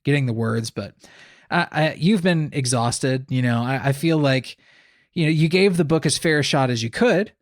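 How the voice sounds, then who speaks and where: A man's voice: medium pitch, normal speed, no echo, clean studio sound. A man co-hosting a podcast, discussing books casually and analytically, often repeating words as he thinks aloud. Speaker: podcast co-host.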